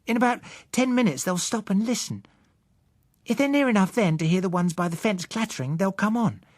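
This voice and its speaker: A man's voice: sits high, animated, brisk, dry recording, studio quality, pitched above the performer's own voice. A man voicing an earnest, huffy young girl who explains things pointedly in an audiobook story. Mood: matter-of-fact. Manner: matter-of-fact, pointed explaining. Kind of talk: audiobook character acting.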